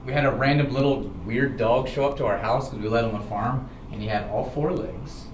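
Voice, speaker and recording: An American man tells informal personal stories in an animated, dramatic way, often checking in with his listeners. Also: medium pitch, a little fast. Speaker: storyteller, show host. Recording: roomy, distant, clearly noisy recording.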